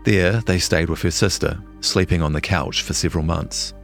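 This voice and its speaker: A man's voice: low-pitched, normal speed, creaky. A man with a New Zealand accent reading a scripted longform feature as a journalist. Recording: music behind the voice.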